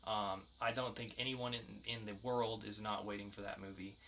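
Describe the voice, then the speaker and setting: A man's voice: fairly low pitch, steady tone, normal speed, fairly bright tone, a little hiss or hum. A man co-hosting a podcast, talking casually through pop-culture news. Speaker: podcast co-host.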